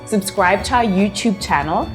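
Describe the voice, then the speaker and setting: A woman's voice: mid-range voice, normal speed, music in the background, low-quality recording. A woman from Zimbabwe hosting an interview, effusive and encouraging, often laughing as she praises her guest. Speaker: host. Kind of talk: warm interview chat.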